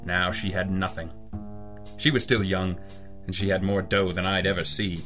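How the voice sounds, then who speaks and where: An actor playing a stern, cynical private detective in an old-style radio drama, with clipped, wry, accusing delivery. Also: male voice, low voice, steady tone, moderate pace, fairly bright tone, soft background music. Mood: rueful narration. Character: hard-boiled, cynical adult male private detective, stern and relentless.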